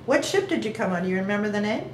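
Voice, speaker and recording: A woman's voice: medium pitch, very animated, racing, a little mellow. A woman interviewing an elderly man at home for an oral history, asking plain, prompting questions. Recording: echoey room with faint hiss.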